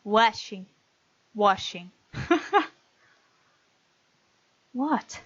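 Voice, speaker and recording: A woman's voice: medium pitch, very slow, a bit bright, husky, no echo, muffled recording. A woman hosting a gaming video, reading game text aloud and breaking off to comment playfully.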